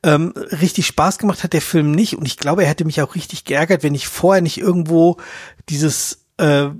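Husky guy on a film podcast, slangy casual review talk, dry sound. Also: a little high, steady tone, moderate pace, no room sound. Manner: colloquial, slangy.

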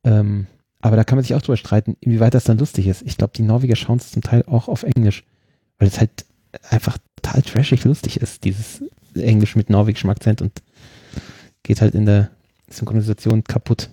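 A man's voice: on the low side, fairly even intonation, fairly quick, mellow, husky, no room sound. A man chatting casually about films with friends, talking in a loose, hesitant way with many fillers and small laughs. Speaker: podcast co-host.

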